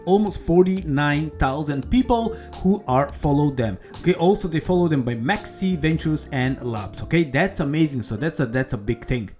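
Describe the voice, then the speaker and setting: A man's voice: a little high, darkish tone. A man walking viewers through a crypto game on screen, eager and rambling, with non-native English phrasing and constant "okay"s. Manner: eager, rambling, peppered with "okay" and "all right". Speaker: crypto YouTuber. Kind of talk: casual screen-share walkthrough.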